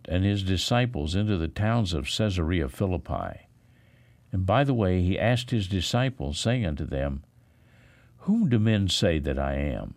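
A man reading scripture aloud in a solemn, expressive pastoral style, with measured, deliberate phrasing and frequent pauses. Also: low voice.

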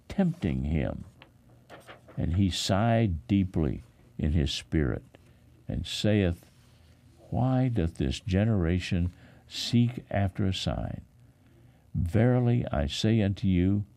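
Deep, gravelly man reading scripture slowly. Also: warm tone. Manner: measured, deliberate phrasing with frequent pauses. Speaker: pastor reading scripture aloud. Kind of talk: solemn, expressive Bible reading.

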